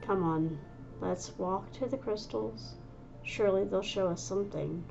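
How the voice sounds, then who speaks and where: A woman voicing a patient female cat clan leader, speaking gently and soothingly. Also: mid-range voice, unhurried, creaky, lo-fi sound, faint music behind. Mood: calm.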